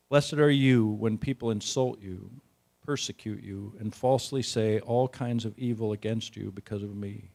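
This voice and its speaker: A man speaking as a pastor at a church service, measured and deliberate as he reads and introduces. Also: fairly low pitch, creaky, darkish tone. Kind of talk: scripture reading and church introduction.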